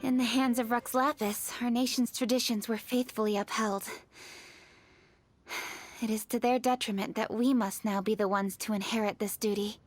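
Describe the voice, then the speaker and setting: A woman's voice: fairly high pitch, fairly even intonation, normal speed, no room sound, lightly acted. An actress playing an earnest, dutiful young female official in a video game, formal and composed. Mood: solemn.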